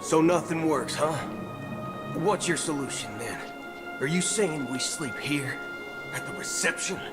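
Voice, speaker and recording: A man's voice: a little high, lively intonation, unhurried. A man playing a brash, impatient young traveler in a video game, informal and slangy, shifting between chatty and muttering. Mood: impatient, exasperated. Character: brash young male traveler fishing for clues, casual and impatient. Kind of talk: animated video game voice acting. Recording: loud game music underneath.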